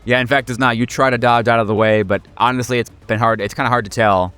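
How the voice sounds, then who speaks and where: Smooth-voiced man running a tabletop game. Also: medium pitch, steady tone, a bit bright, faint music behind. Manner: hesitant, halting, searching for words; wry, playful, slangy. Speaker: game master of a horror tabletop podcast. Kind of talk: casual improvised tabletop game narration.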